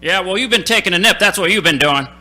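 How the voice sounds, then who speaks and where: An actor playing a gruff, irritable roadside stand owner in a radio play, loud and curt. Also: male voice, high-pitched, lively intonation, fast, exaggerated voice. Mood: irritated, suspicious. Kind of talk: radio play character acting. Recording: stage radio play with music.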